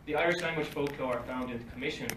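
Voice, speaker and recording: A man's voice: medium pitch, quick pace. A young man giving a conference talk at a lectern, reading from notes with dry asides, recorded from across a library meeting room. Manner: reads from paper at a lectern, dry asides with short laughs. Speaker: conference speaker. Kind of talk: academic talk read from notes. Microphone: far phone camera across the room. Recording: roomy, distant, faint hiss, livestream quality.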